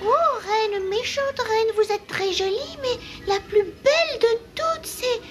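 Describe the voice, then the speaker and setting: An actress playing a deferential young crystal-ball seer at a royal court, hesitant and ceremonious, in a cartoon dub. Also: female voice, very high-pitched, takes it slowly, over music.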